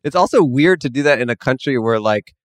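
A man's voice: medium pitch, animated, brisk, no room sound, clean studio sound. A man appearing as a podcast guest, chatting casually, laughing easily and mocking himself. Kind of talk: casual chat.

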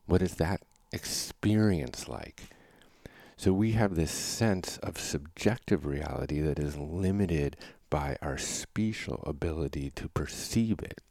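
A man's voice: extremely low pitch, slow pace, darkish tone, dry recording. A man hosting a podcast, talking thoughtfully in a solo reflection and asking rhetorical questions. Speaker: podcast host.

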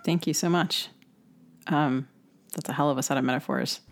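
A woman's voice: low-pitched, steady tone, normal speed, creaky. A woman interviewing a guest as co-host of a literary podcast.

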